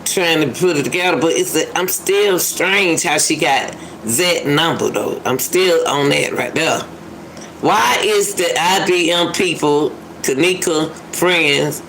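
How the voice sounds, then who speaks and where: A woman's voice: low voice, a bit bright, a little hiss or hum, low-quality recording. A woman giving casual reaction commentary, musing aloud and repeating her phrases for emphasis. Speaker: commentator reacting to a phone call clip.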